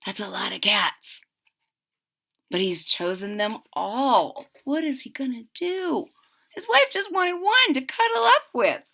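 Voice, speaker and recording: A woman's voice: high-pitched, very animated, a little slow, gravelly, sharp tone. A woman reading a picture book aloud expressively, stretching words for emphasis and adding chatty asides for young listeners. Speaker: read-aloud storyteller.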